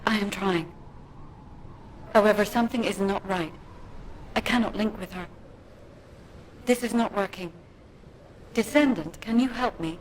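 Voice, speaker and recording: A woman's voice: mid-range voice, a little hiss or hum, close to an everyday voice. Composed, formal woman tracker, an actress's game role. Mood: focused, troubled. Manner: formal, measured, no contractions. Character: composed, formal female psychic-tracker warrior. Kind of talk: video game voice acting.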